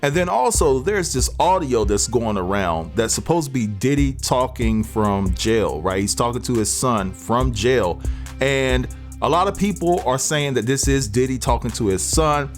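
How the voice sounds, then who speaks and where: A man's voice: mid-range voice, animated, normal speed, faint music behind. A man hosting a celebrity news show, talking casually to his viewers.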